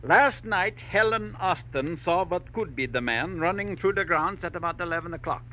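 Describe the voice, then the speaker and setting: An actor playing an exacting middle-aged millionaire mine owner in a 1940s radio drama, emphatic and deliberate in his phrasing. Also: high-pitched, expressive, bright, forceful tone. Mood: businesslike. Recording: dull, hissy old radio recording.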